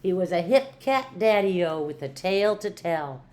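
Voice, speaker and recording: An older woman, a retired teacher, reads a picture book aloud to children with playful, rhythmic emphasis and drawn-out words. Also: fairly low pitch, lively intonation, a little mellow.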